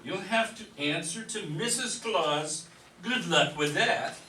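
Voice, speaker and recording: A man playing a kindly, gently admonishing Santa Claus in an amateur comic radio play. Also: high-pitched, animated, takes it slowly, exaggerated voice. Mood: reproving. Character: kindly, reproving old Santa Claus held captive. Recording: noisy, echoey room, distant mic.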